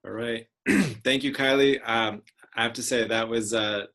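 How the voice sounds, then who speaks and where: A man with a bright, forward voice at average pitch hosts an online meeting. He introduces presenters informally and complimentarily, pausing often between phrases. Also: fairly even intonation. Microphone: video call.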